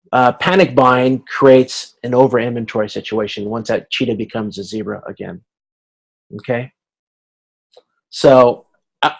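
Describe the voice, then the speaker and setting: A man giving an informal explanatory lecture as an instructor on a video conference, haltingly thinking aloud. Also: medium pitch, a little mellow, rough recording.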